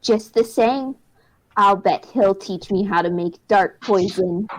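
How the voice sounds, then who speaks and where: A woman's voice: mid-range voice, slow pace, low-quality recording. A woman voicing a bratty, stubborn little boy in a radio play, whiny and demanding. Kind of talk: hobby radio play acting.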